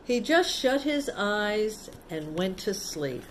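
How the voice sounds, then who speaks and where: A woman's voice: mid-range voice, big pitch swings, takes it slowly, a little hiss or hum. A woman storyteller reading a picture book aloud to children, adding simple explanatory asides in a casual, child-directed way.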